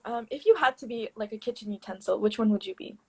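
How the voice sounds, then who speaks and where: Breathy young woman asking questions. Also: fairly high pitch, fast talker, mellow. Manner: friendly, giggly, with frequent "um". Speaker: student interviewer. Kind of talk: reading interview questions.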